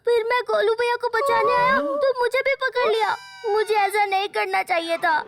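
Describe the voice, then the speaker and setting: A voice actor playing a mischievous young boy in a Hindi kids' cartoon, with a childlike, drawn-out, cheeky delivery. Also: extremely high pitch, fairly even intonation, heavy background noise. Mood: apologetic. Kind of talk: exaggerated Hindi cartoon dubbing.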